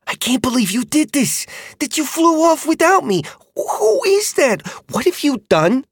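A man putting on a flustered young woman who rides dragons, high and breathless, apologetic and quick to reassure, her voice thinned and shifted away from his own timbre. The recording is dry and close. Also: no echo, heavily acted. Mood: alarmed. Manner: anxious, apologetic, quickly talking herself calm. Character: young female dragon rider, flustered but kind. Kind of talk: audiobook character voice.

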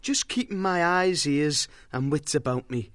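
A man's voice: fairly high pitch, measured pace, a bit bright, no echo, pitched above the performer's own voice. A man voicing an alert, earnest young mouse warrior in an audiobook. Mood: watchful. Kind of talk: audiobook character voice.

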